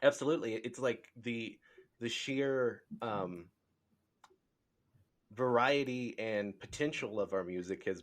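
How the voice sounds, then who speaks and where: A man hosting a podcast in casual interview chat, speaking haltingly and rambling as he searches for words. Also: on the low side. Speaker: podcast host.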